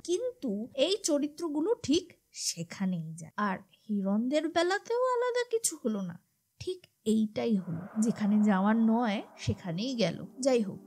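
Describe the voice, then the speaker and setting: A woman's voice: on the high side, a little mellow. A woman narrating a scripted horror story in a dramatic storytelling style. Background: music behind the voice.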